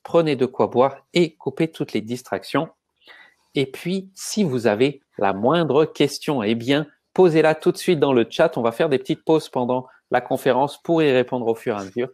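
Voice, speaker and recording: Male webinar host, calm and measured, addressing viewers on a video call. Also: medium pitch. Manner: welcoming, measured, gives instructions to viewers. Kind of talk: hosting a live webinar.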